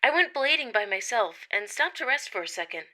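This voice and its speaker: Woman, first-person story reading, very bright voice, hushed at tense moments. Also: a little high, big pitch swings, fairly quick. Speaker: witness reading her account.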